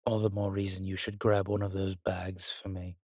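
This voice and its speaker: An actor playing a weary, wry, courtly vampire in a roleplay audio drama, speaking haltingly to the listener in a refined, slightly pompous manner. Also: male voice, deep voice, flat delivery, a little mellow, dry recording, studio quality. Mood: dry, genteel. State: weakened, recovering.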